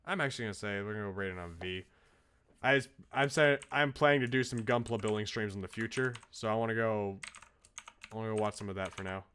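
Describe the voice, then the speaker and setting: A man's voice: lowish voice, quick pace, a bit bright, smooth. A man chatting casually on a livestream as a game streamer, enthusiastic and informal in how he talks.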